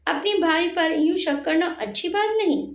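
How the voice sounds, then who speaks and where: A woman's voice: extremely high pitch, moderate pace, pitched above the performer's own voice. A woman voicing a naive, dutiful housewife in a family story, innocent and mild in manner. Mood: innocent, puzzled. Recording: roomy echo on the voice.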